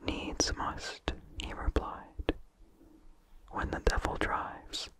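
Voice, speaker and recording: An ASMR narrator whispers an old horror tale without any voicing. The delivery is very unhurried and deliberate, each phrase set down carefully. The breathy whisper sits close to the microphone, with small mouth sounds. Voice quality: breathy, fully unvoiced whisper. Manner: slow, measured, deliberate phrasing. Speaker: narrator reading a story aloud. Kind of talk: whispered ASMR reading of a vintage horror story. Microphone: close microphone with incidental mouth sounds.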